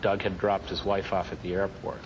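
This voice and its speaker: A man's voice: sits low, level tone, normal speed, highs cut off. A man, an air traffic controller, recounting events in an interview. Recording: clearly noisy interview audio.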